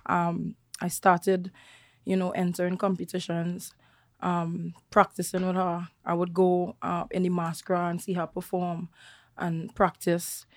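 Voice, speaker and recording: A young Trinidadian woman from the countryside who is a singer, talking relaxed and chatty as an interview guest, with many fillers and restarts. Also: on the low side, unhurried, no room sound, studio quality. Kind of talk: casual interview chat.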